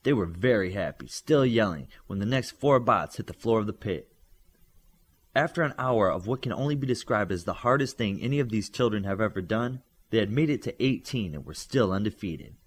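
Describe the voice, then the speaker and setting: A man's voice: on the low side, lively intonation, fairly quick, breathy edge. A man reading a scripted fiction story aloud as an audiobook narrator, acting out the dialogue as he goes.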